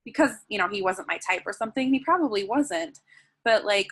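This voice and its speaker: A woman with a mid-range voice speaks softly and gently. Her talk flows loosely, full of "like"s and "um"s. She slows down when she guides listeners through breathing. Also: medium pitch, lively intonation, slightly rough. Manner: soft, flowing, with many "like"s and "um"s. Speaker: guest, sensual wellness teacher. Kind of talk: conversational interview, then guided breathing.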